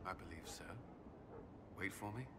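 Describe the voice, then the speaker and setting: An actor playing an earnest military commander in his thirties, bashful and halting, in a dramatic video game. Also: low-pitched, heavy background noise, lightly acted. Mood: soft, tender. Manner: halting, hesitant, soft-spoken.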